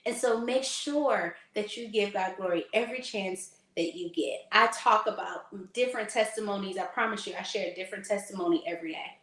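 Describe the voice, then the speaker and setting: A slightly hoarse woman hosting a faith talk, preaching casually to her audience in a sassy, emphatic way. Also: mid-range voice, a little fast, hardly any pauses, a bit bright, reverberant, low-quality recording. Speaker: faith talk host and lifestyle consultant.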